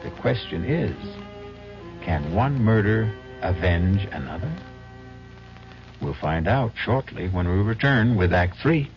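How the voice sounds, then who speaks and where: A man hosting a 1970s radio mystery, narrating in a measured, wry, suspenseful way. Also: low voice, lively intonation. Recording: dull old radio broadcast. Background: dramatic music.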